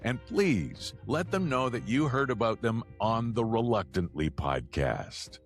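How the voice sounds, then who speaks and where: A man's voice: fairly low pitch, very animated, unhurried. A man hosting a podcast, delivering a scripted promotional read for sponsors. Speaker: podcast host reading sponsor spots. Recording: background music under voice.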